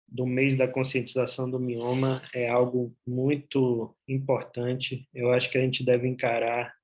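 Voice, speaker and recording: A man from Bahia, a gynecologist with a Bahian accent, giving an explanatory talk over a video call, often pausing on "é". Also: medium pitch, highs cut off. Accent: Bahian Portuguese. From Bahia, Brazil. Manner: explanatory, with frequent "é" fillers. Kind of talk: online medical presentation.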